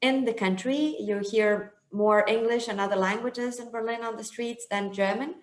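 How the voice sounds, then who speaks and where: Halting woman hosting a webinar, roomy sound. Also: on the high side, fairly even intonation. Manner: halting, with many um fillers. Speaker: webinar host. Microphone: video call. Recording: roomy video-call sound.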